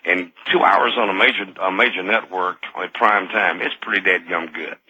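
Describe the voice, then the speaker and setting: A man's voice: low-pitched, fairly even intonation, normal speed, bright, forceful tone, slightly rough, no room sound, low-quality recording. A middle-aged American man calling a radio show, chatting casually in a folksy, chummy way. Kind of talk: casual chat.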